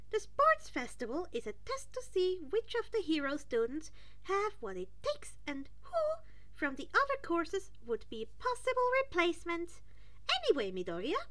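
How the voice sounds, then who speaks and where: A woman's voice: very high-pitched, sharp tone, voice pushed up, heavily acted. A woman voicing a small male animal school principal in an audiobook, chirpy, polite and formal.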